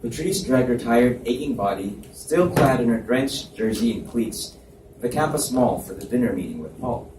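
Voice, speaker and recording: A man's voice: mid-range voice, animated, normal speed. A man narrating a scripted romance story at a live reading. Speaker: reader, narrator. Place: live reading in an echoey indoor room. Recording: echoey room, distant mic, background noise.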